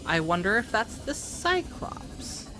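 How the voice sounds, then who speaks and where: A woman's voice: mid-range voice, big pitch swings, slow, noisy background. A woman streaming a game who chats to her viewers and thinks aloud as she plays. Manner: chatty, talks to chat and to herself. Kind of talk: casual live game commentary. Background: game music.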